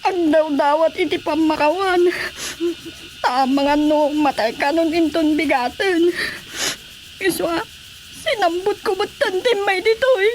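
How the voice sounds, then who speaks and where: A woman acting a distraught, high-voiced wronged wife. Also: measured pace, low-quality recording. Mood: tearful anguish. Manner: plaintive, pleading. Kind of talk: Ilocano radio drama acting. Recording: faint background noise.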